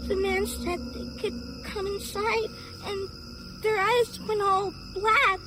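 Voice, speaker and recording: A man voicing a frightened six-year-old girl, talking slowly and softly. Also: extremely high pitch, flat delivery, music in the background, pitched above the performer's own voice. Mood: scared. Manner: small, slow, hushed.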